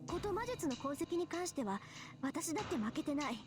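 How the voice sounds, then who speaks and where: High, quick-talking proud schoolgirl. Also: very animated. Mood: insistent. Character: sharp, proud teenage schoolgirl mage, quick to argue. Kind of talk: Japanese anime visual novel acting. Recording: game dialogue over background music.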